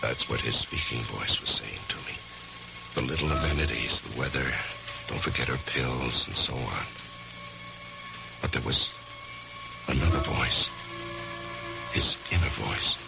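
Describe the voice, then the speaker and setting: An actor playing a haunted, uneasy lawyer with a halting manner in a 1970s radio drama. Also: male voice, extremely low pitch, very animated, creaky, over loud music, full character voice. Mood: haunted, confiding. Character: troubled, haunted male lawyer caring for sick wife. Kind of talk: 1970s radio drama acting and first-person narration. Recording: muffled, hissy old radio recording.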